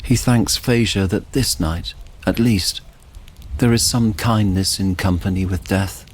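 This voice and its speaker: A male narrator reads fantasy drama in a low, rough-edged voice. His delivery is slow, measured and solemn. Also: poor audio.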